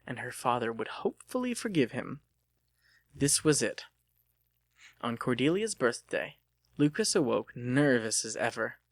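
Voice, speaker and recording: A man's voice: mid-range voice, fairly bright tone, dry recording. Man narrating a story, dry sound. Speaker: audiobook narrator. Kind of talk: dramatic scripted story narration.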